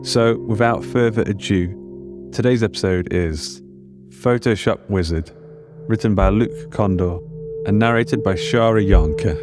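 A man introduces a podcast episode from a script. His voice is fairly low, and music plays clearly behind him. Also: a little slow. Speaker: podcast host. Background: prominent music.

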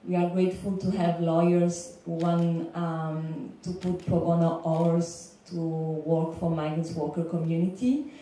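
Halting Belgian woman in an echoey room. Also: on the low side, little pitch movement, dark tone. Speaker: legal consultant, panel speaker. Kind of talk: semi-prepared panel speech. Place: indoor lecture room panel. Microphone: handheld microphone, recorded by far camera. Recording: echoey room, distant, faint background noise.

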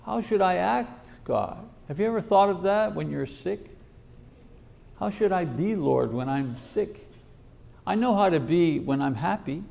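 A man in his sixties preaching a sermon at a podium, reading scripture and acting out prayers in a measured teaching manner. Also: high voice, animated, vocal fry, a little mellow. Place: lecture hall at a podium. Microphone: podium microphone.

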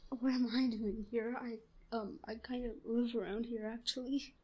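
A young woman voicing a shy, giggly, obsessive schoolgirl stalker in a roleplay audio drama, breathy and halting. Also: fairly high pitch, steady tone, darkish tone, lightly acted. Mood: nervous, feigned concern.

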